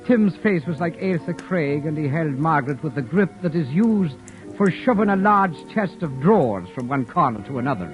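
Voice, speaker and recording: A man's voice: a little high, expressive, natural voice. An actor playing Michael, a witty, lovestruck young man who also narrates, in 1940s-style radio drama acting with a lilt. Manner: wry, theatrical, quick comebacks. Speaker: narrator and lead character. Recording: muffled old radio recording with music.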